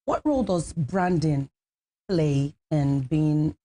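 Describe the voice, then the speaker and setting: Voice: mid-range voice, slow pace, warm tone. A television interviewer, asking questions conversationally and attentively.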